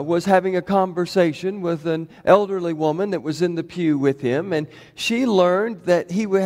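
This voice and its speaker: A middle-aged man, a pastor, preaching a chatty sermon in a church, his voice a bit hoarse from shouting, full of fillers and restarts. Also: high-pitched. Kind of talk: conversational sermon. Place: church sanctuary. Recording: long reverb of a church hall.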